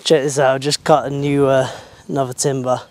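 A man's voice: mid-range voice, flat delivery, a little fast, background noise. A man in his thirties filming his own building work outdoors at a sawmill yard, talking plainly and thinking aloud with fillers. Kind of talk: casual self-filmed vlog.

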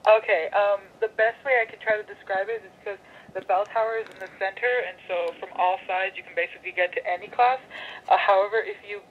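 A woman's voice comes thinly through a phone speaker with slight noise. She gives directions patiently, pausing to work out how to phrase them. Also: medium pitch, fairly even intonation. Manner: patient, searching for words. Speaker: university phone operator giving directions. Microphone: telephone heard over speakerphone. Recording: tinny noisy phone call through speakerphone.

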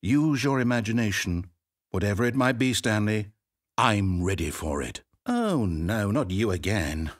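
A man's voice: lowish voice, lively intonation, unhurried. An actor playing a chatty, fussy, self-doubting male narrator who rambles with theatrical flair. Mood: eager, plotting. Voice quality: rambling, theatrical, wildly swinging delivery. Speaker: game narrator. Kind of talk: video game voice acting.

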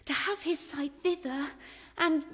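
An actress with a British accent playing a lovesick, spurned young noblewoman, speaking measured theatrical verse in a Shakespearean radio drama. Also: high-pitched, fairly even intonation, a bit bright, a little hiss or hum. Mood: aggrieved, resolved. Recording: long hall-like reverb.

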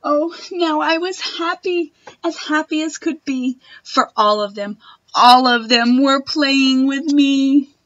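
A young woman reads a children's picture book aloud. Her voice is fairly high, with a gentle, expressive delivery. The sound is dry and slightly dull, from a device mic at home. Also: slow, dry recording. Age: young adult. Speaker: storytime reader. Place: wood-panelled room at home.

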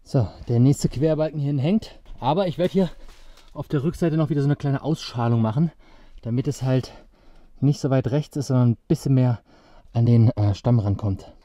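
A man's voice: mid-range voice, moderate pace, dark tone, dull audio. A man in his thirties chatting casually to viewers while building outdoors in a misty forest, picked up by an action camera, puffing now and then from the work. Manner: chatty, addresses viewers directly, upbeat. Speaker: survival vlogger. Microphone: action camera mic at a distance.

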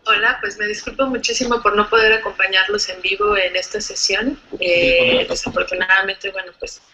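A woman's voice: mid-range voice, very animated, normal speed, sharp tone. A young woman recording a presentation at home on her webcam, apologetic and chatty with many fillers. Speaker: student presenter. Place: home room. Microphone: webcam close up. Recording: dull lo-fi webcam audio with faint noise.

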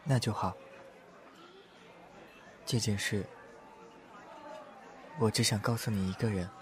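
A young man acting a gentle, reserved young man in a confiding audio drama role. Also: lowish voice, fairly even intonation, slow pace, a faint crowd. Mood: hesitant, confiding.

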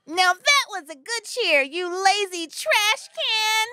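A woman's voice: very high voice, lively intonation, takes it slowly, noisy background, exaggerated voice. A woman voicing a bossy, insulting cheer captain in a comedy sketch. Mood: scornful.

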